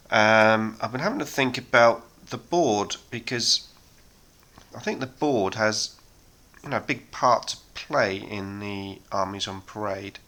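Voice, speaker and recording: A man in his thirties hosting a miniature painting chat, talking casually with many ums and a slightly hoarse voice from a sore throat. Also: lowish voice, slow. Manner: relaxed, rambling, many ums. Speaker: hobby painting channel host.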